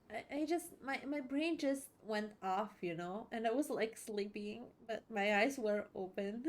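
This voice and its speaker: Girl streamer chatting over a game, high voice, takes her time, halting and giggly. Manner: halting, repeats words, giggly. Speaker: gaming streamer. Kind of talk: casual let's play commentary.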